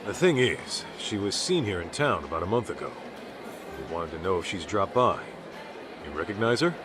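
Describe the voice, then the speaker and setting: Low-voiced, easygoing detective. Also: male voice, very animated, moderate pace, background noise. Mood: businesslike. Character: gruff, easygoing middle-aged male private detective. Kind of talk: video game cutscene acting.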